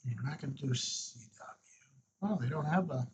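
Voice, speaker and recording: A man hosting an unscripted, casual software walkthrough, thinking aloud and murmuring to himself as he works. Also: mid-range voice, moderate pace, slight background noise. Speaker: host of a radio tutorial.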